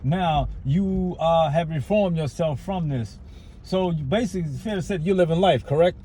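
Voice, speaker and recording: A man interviewing a guest, asking halting questions with short acknowledgements. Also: fairly high pitch, dark tone, a little hiss or hum.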